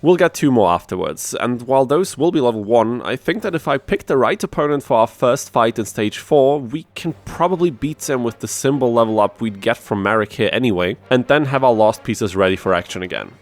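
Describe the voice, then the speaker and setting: A man's voice: mid-range voice, expressive, a little fast, hardly any pauses. A man hosting a gaming video, narrating a challenge run from a script with conversational, self-deprecating asides. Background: music under the voice.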